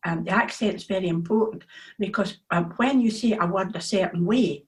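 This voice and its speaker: A woman's voice: medium pitch, moderate pace. A woman with a Scottish accent, chatting casually as an author being interviewed.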